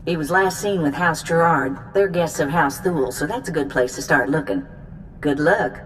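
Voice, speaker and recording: A woman's voice: deep voice, normal speed, a little hiss or hum, lightly acted, low-quality recording. A woman playing a breezy, wryly tough mission contact giving a folksy briefing in a video game. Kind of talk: video game cutscene acting.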